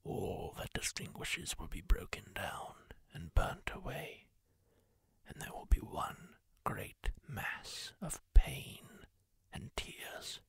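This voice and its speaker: A performer whispering in character as a kindly masked healer, slow and hushed, in an ASMR roleplay. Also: mid-range voice, big pitch swings, no echo, full character voice. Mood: solemn warning. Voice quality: whispered, gravelly and breathy. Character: kindly masked healer tending a wounded wanderer.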